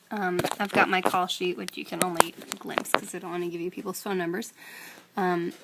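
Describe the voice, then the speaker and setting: A woman's voice: mid-range voice, a bit bright. A young American woman chatting informally and self-deprecatingly in a casual diary-style vlog. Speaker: vlogger; actress. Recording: clear background noise, room ambience.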